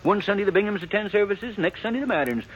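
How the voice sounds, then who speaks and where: An excitable western town gossip, a justice of the peace with a high voice and rapid delivery. He talks in rustic, folksy phrases in old radio drama style. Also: highs cut off, slight background noise. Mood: gossipy, excited. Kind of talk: 1950s radio western character acting.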